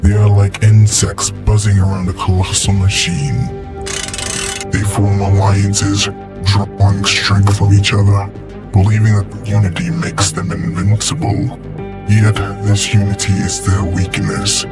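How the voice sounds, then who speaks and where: A man playing a low, even-voiced alien machine mastermind from an audio drama. He is pompous and menacing, spacing out every word with slow, cold grandeur, sure of his own supremacy. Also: loud music behind the voice, lightly acted. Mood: haughty contempt. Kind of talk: audio drama villain monologue.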